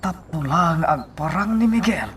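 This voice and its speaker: A woman's voice: on the low side, lively intonation, a little slow. A woman playing a cold, commanding villainess who schemes to kill, in a radio drama. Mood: scheming. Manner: commanding, menacing. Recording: loud noise behind the voice.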